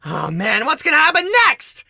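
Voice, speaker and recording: A woman's voice: on the high side, very animated, normal speed, vocal fry, bright, forceful tone, slightly rough, dry recording, studio quality, heavily acted. A woman playing a sarcastic, exasperated young male cartoon hedgehog hero in an amateur audio drama. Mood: exasperated, incredulous. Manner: sardonic, muttering to exclaiming.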